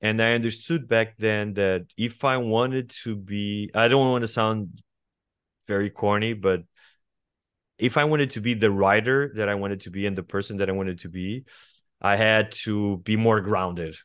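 A man's voice: lowish voice, fairly even intonation, unhurried, fairly bright tone, smooth, no echo, clean studio sound. Reflective Portuguese-accented man in his forties. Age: 40s. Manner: reflective, hesitant with many fillers and restarts. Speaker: guest writer. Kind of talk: conversational interview.

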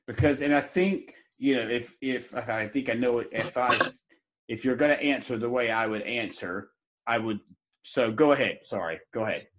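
A man's voice: mid-range voice, moderate pace, a bit bright, poor audio. A man hosting a podcast interview, asking rambling questions in a casual way and swearing freely. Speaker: podcast host.

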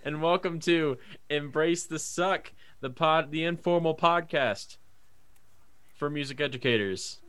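A man's voice: on the high side, measured pace, a bit bright, smooth. A man in his twenties with an American accent, chatting casually and jokily as a podcast co-host. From Northern Kentucky, United States. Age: 20s. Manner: jokey, laughs often.

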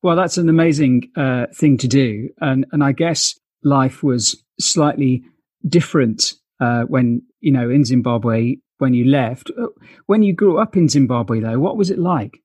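A man's voice: mid-range voice, unhurried, a little mellow, breathy edge, no echo. Male interviewer with a dry, close sound. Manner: affirming, with frequent short acknowledgements. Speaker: interview show host. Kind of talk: casual conversational interviewing.

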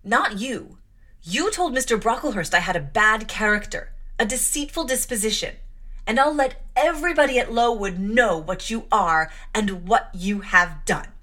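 A woman's voice: medium pitch, lively intonation, normal speed, bright, forceful tone. A woman reading aloud and voicing a passionate, defiant young girl who accuses her aunt in a raised, impassioned voice.

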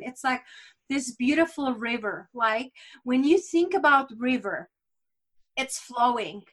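Woman, high voice, earnest and reflective, keeps saying right? and you know. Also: bright, forceful tone. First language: Czech. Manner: earnest, reflective, with rhetorical asides. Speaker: guest. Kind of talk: candid personal conversation.

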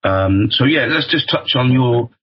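A man's voice: medium pitch, animated, a little slow, breathy edge, lo-fi sound. A man hosting an interview, hesitant and full of restarts as he leads into his questions. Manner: hesitant, many ums and restarts. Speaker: host. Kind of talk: interview host.

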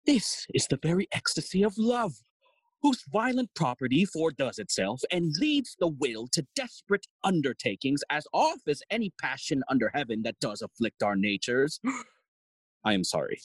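A man's voice: a little high, big pitch swings, normal speed, husky, no room sound, rough recording. An actor playing a fussy, long-winded older father and royal counsellor in a theatrical Shakespearean audio play. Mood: urgent, regretful. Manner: wordy, theatrical.

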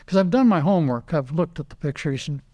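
Older male guest, slightly higher voice, lively anecdotes, repeats words as he talks. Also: fast. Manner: animated anecdotes, quoting others, repeats words. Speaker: guest, retired racing driver. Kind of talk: casual storytelling interview.

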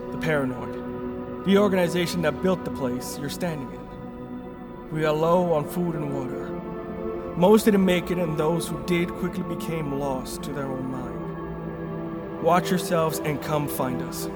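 Actor as guarded, slightly high-voiced male rebel. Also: unhurried, close to an everyday voice. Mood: somber. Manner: serious, clipped, commanding. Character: guarded, terse, cautious male rebel with grim past. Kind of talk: sci-fi radio drama acting. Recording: loud music under the dialogue. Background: loud music.

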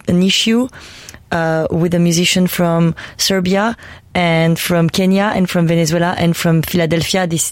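A woman's voice: lowish voice, a little mellow, dry recording. A woman musician from Armenia and France, speaking thoughtfully in an interview and searching for words as she goes. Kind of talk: interview conversation.

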